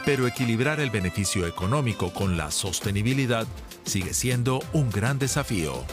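A man narrates a news feature in a measured, even voice that sits on the lower side. His script is read over a clearly audible music bed.

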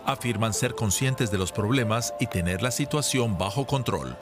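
A man reading a scripted news report as voice-over, in a measured, even manner. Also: lowish voice. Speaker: news narrator. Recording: voice-over over loud music.

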